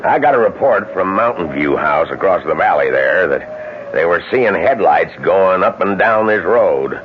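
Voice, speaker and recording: An actor playing a suspicious, folksy rural sheriff who speaks with authority in a radio drama. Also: male voice, low voice, animated, a little slow. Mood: suspicious, commanding. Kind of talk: 1970s radio drama acting. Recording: muffled, noisy old radio broadcast.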